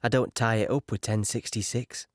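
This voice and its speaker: Male actor Earnest, naive young British infantryman, low voice, plain and hesitant, intimate dry audio-play monologue. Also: steady tone, moderate pace, no room sound, natural voice. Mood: candid, unknowing. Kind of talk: dramatic monologue recounting wartime memories.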